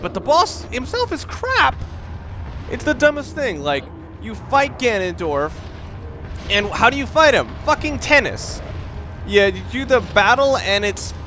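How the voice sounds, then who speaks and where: A man's voice: sits high, pushed and bright, slight background noise. A young man giving casual, rambling, opinionated commentary while playing a video game, with the odd curse word. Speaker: let's play commentator.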